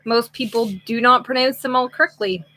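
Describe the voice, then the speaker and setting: A woman's voice: fairly high pitch, fairly even intonation, brisk, bright, forceful tone. A woman with a Canadian accent, co-hosting a casual live chat and reading out comments in a chatty, apologetic way.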